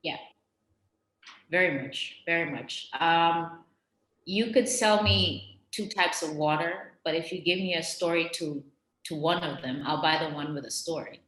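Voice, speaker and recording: A young woman from Mozambique with an American accent, telling her story as a podcast guest in an earnest, animated way with frequent fillers. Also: low voice, a little slow. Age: young adult. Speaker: guest, creative director. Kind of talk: podcast conversation. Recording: roomy echo.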